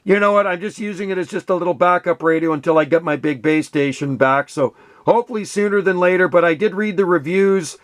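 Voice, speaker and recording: A man's voice: high voice, fairly quick. A man in his sixties or older having a casual CB radio chat, folksy and full of radio slang. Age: 60s or older. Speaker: radio hobbyist, channel host.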